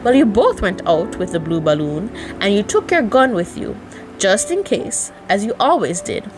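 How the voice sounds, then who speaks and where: A woman's voice: medium pitch, sing-song, normal speed, over music, poor audio. A woman narrating a children's bedtime story as an amateur audiobook reader.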